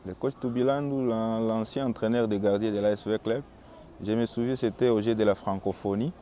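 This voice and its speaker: Calm young Congolese man, mellow voice. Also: on the low side. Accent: Congolese French. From Bukavu, DR Congo. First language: Swahili. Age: young adult. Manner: calm and measured, with small restarts. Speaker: football goalkeeper. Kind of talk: answering interview questions, recounting his career.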